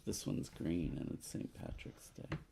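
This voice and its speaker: A man with a lowish voice and heavy vocal fry co-hosts a board game session. He talks casually with his co-player and reads passages of game text aloud. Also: animated, unhurried, dark tone. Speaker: co-host of board game playthrough.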